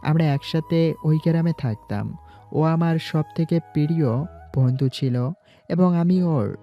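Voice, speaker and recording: A man playing a calm, guarded young student in an audio drama, speaking politely and earnestly. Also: fairly high pitch, measured pace, lo-fi sound, soft background music. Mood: sad, sincere. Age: young adult. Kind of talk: Bengali audio story acting.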